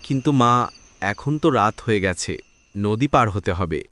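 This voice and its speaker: A man's voice: fairly low pitch, lively intonation, moderate pace, a bit bright, no room sound. A man playing a devoted, earnest boy who pleads for his sick mother in a cartoon. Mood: anxious. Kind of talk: cartoon dialogue acting.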